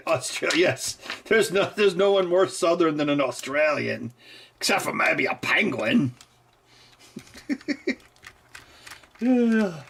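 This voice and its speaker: A man's voice: fairly high pitch, animated, takes it slowly, vocal fry, pauses often, a bit bright, slight background noise. A man hosting a live stream, rambling casually and joking, laughing often.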